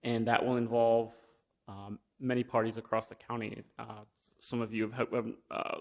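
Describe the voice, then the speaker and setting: A man's voice: medium pitch, little pitch movement, moderate pace, vocal fry, darkish tone. A young man giving an informal presentation at a county meeting, talking plainly with many fillers and restarts. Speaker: wind energy business developer. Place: county commission meeting room.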